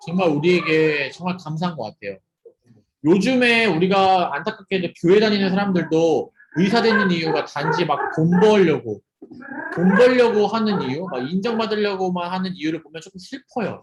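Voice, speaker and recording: Lively young man preaching to teens. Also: high-pitched, roomy sound, poor audio. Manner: lively, asks students questions and jokes with them. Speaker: youth ministry preacher. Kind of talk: interactive youth sermon over video call. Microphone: video call.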